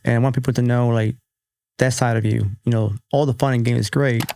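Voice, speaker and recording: A man hosting a podcast with a gravelly, mellow voice, talking in a relaxed way with casual swearing. He is recorded in a dry studio. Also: medium pitch, brisk, dry recording. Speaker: podcast host. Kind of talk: casual interview chat.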